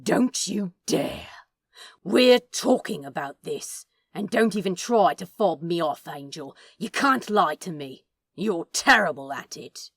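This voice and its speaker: A woman's voice: on the low side, animated, slow pace, gravelly, fairly bright tone, breathy edge, dry recording, studio quality, full character voice. A woman voicing a furious, wounded male demon who growls, hisses and swears in an audiobook story. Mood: angry, accusing.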